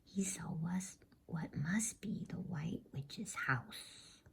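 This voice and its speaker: A woman reading a storybook as ASMR narration in a hushed, soothing, unhurried whisper close to the microphone. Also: on the low side, gravelly, breathy edge. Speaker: bedtime story reader.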